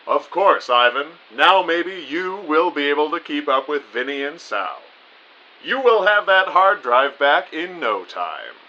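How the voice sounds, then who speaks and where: A man plays a genteel spy agency chief in an audio drama. The voice is a little high and very animated, formal and reassuring in manner. The sound is slightly lo-fi. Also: exaggerated voice. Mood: encouraging. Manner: formal, reassuring, measured wording. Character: genteel, encouraging male spy agency boss.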